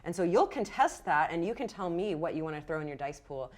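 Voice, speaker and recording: A woman with a slightly low voice runs a tabletop roleplaying game. She talks conversationally, improvising the scene as she goes, and encourages players while walking them through the rules. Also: expressive, fairly quick. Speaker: game master.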